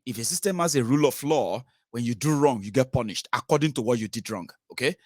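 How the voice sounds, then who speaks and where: A man's voice: mid-range voice, lively intonation, fast, darkish tone, no echo. Nigerian man hosting a phone-in, dry close sound. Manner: emphatic, rhetorical questions and tags. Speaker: host of a political phone-in show. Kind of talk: conversational political commentary with callers.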